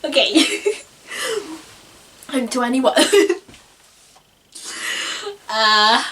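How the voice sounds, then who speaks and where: A woman's voice: high-pitched, fairly bright tone, recorded from a distance. A woman in her twenties, talking casually to camera in a rambling, self-conscious way, often breaking into giggles. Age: 20s. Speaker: vlogger. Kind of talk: unscripted vlog, casual talk to camera.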